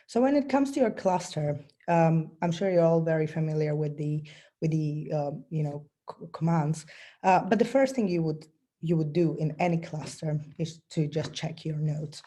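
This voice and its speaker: A woman with a bad cold giving a technical presentation over a video call, explaining step by step with frequent ums and throat clearing. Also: low voice, normal speed, dark tone.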